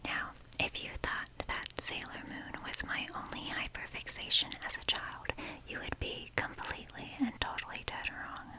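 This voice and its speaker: A young woman speaks in a breathy whisper throughout, as an ASMR host would. Her delivery is casual and rambling, with a self-deprecating streak. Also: moderate pace, rough recording.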